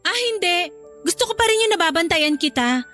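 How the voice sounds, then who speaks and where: Very high-voiced dutiful wife. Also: female voice, expressive, brisk, pushed and bright, soft background music, exaggerated voice. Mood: resigned, jealous. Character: dutiful young wife, polite but jealous and watchful. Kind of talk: Filipino radio drama acting.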